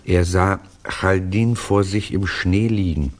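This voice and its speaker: An actor playing a reflective storyteller in a 1960s German radio play, narrating conversationally in a measured, wry, husky voice. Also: male voice, sits low. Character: reflective male storyteller recounting to a friend.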